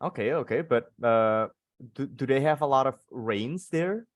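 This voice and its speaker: A man's voice: medium pitch, lively intonation, normal speed, no echo, studio quality. A man with an Indonesian accent teaching a casual live English class, repeating words and phrases as he explains encouragingly. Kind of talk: casual conversational lesson, switching between two languages.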